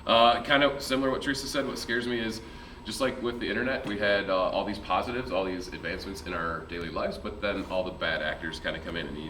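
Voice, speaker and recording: A man, a teacher, giving an informal introduction in a halting, self-correcting way. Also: sits low. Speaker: digital media teacher. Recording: echoey room, distant mic, steady noise.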